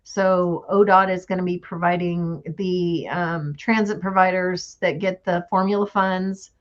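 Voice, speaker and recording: A woman giving a transit funding update at a board meeting, losing her voice, halting with frequent ums. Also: lowish voice, little pitch movement, dull audio.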